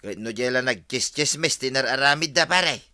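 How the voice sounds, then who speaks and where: A man's voice: mid-range voice, a little fast, sharp tone. A man with an Ilocano accent playing an eager, tattling village assistant in a radio comedy drama. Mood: urgent, insistent.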